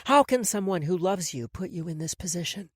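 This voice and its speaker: Brief: woman playing a cool, menacing tormentor for an audio story, quiet menace, varied pitch. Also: animated, a little fast, husky, dry recording, clean studio sound. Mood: cold, menacing. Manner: soft, coolly menacing. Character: calm, cruel woman toying with captives.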